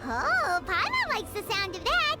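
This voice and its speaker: A woman voicing a small, excitable, childlike fairy companion in an anime game, with a bubbly manner. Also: extremely high pitch, a little slow, faint music behind, full character voice. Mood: eager, bubbly. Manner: speaks of self in third person, bubbly. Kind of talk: anime game character dialogue.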